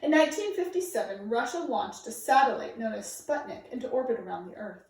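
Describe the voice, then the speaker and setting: A woman reading a children's nonfiction book aloud in a measured, emphatic way, phrasing it for young listeners. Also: a little high, big pitch swings, a little hiss or hum. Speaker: storybook reader. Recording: very echoey room, distant microphone.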